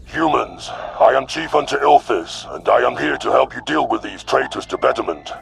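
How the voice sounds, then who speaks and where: A man voicing an arrogant, contemptuous alien predator warlord in a sci-fi audio drama, with a gravelly growl and a boastful, sneering manner. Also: medium pitch, lively intonation, normal speed, fairly bright tone, voice pushed down. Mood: scornful.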